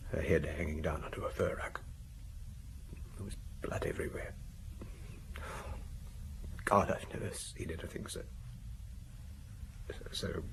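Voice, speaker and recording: An actor playing a hard-drinking, maudlin husband under suspicion in an audio drama, speaking in a hesitant, confessional way. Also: male voice, very deep voice, gravelly, slight background noise, full character voice, rough recording. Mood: shaken. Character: maudlin, hard-drinking French husband, a shaken murder suspect.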